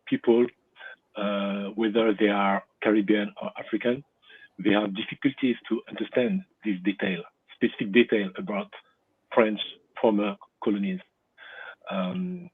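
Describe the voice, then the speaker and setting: Husky man, low voice, hesitant audience questioner, phone-line audio. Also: dry recording. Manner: polite, hesitant, many "um"s. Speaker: audience member asking a question. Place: England. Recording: tinny phone-like line, dry.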